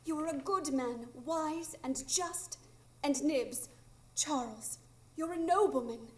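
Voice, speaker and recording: A woman's voice: high-pitched, slow, darkish tone. A woman playing a resolute, grieving young mother and leader in a live radio drama. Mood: commanding. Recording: echoey live theatre room.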